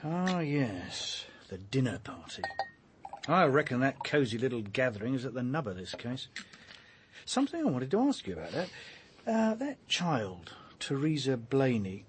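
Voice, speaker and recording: An actor playing a chatty, wry police detective in a naturalistic radio drama, colloquial and a little hesitant. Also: male voice, mid-range voice, huge pitch swings, normal speed, a little hiss or hum. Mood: thoughtful, concerned.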